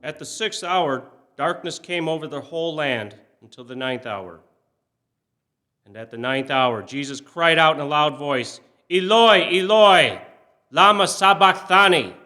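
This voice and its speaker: Bright-voiced man giving a solemn scripture reading. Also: fairly high pitch. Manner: measured, expressive, emphatic on quoted cries, falling away softly at phrase ends. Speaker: scripture reader. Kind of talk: solemn dramatic Bible reading for a church service.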